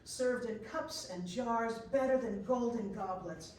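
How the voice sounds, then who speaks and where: A woman's voice: a little high, unhurried, darkish tone. A woman giving scripted storybook narration in a readers theater performance. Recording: very echoey room, distant mic, faint noise.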